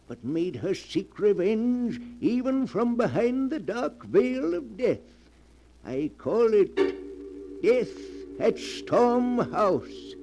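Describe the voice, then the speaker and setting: An actor playing a sinister, gleeful keeper of a book of dark tales, theatrically, in 1940s radio horror style. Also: male voice, sits high, full character voice, low-quality recording. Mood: gloating.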